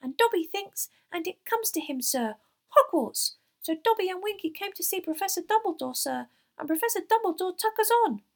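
A woman voicing an excitable, eager little male house elf in an audiobook, squeaky and shrill, speaking deferentially with quirky grammar. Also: normal speed. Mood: delighted, excited. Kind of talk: audiobook character voice.

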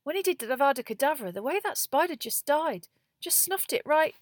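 A woman voicing a blunt, excitable teenage schoolboy in a storybook, talking in a casual, slangy way. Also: medium pitch, moderate pace, no room sound. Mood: excited.

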